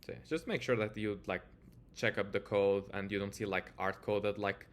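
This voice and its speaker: A man with a Portuguese accent and a somewhat low voice presents a casual software tutorial. He talks informally as a developer showing his screen, hesitating and padding his sentences with filler words. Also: steady tone, moderate pace.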